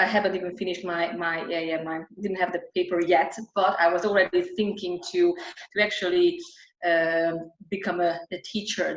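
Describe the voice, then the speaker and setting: A woman's voice: mid-range voice, fairly bright tone. A woman vocal coach talking about her work in a casual interview, with frequent restarts and nonnative phrasing. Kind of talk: casual reflective interview talk. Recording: roomy, dull lo-fi sound.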